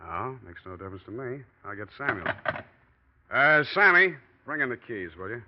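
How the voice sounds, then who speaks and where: A man's voice: medium pitch, sing-song, normal speed, background noise, lightly acted. A man playing a scheming, nervy office manager in a 1940s radio noir, curt and hesitant by turns. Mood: falsely casual. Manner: hesitant cover-ups, curt snapping. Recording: muffled old radio recording.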